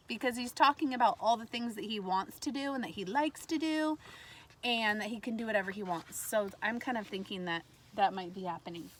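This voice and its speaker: A woman with a fairly high voice speaks as an elementary teacher in a read-aloud lesson. She addresses children directly, in simple explanatory phrasing. There is faint noise behind her. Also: a bit bright.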